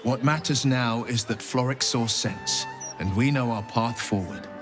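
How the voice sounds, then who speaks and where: A man's voice: medium pitch, unhurried, close to an everyday voice. A man playing an earnest, gallant young nobleman adventurer in a fantasy video game, with a theatrical, florid way of speaking. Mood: resolute. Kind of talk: dramatic video game cutscene acting. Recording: music behind the voice.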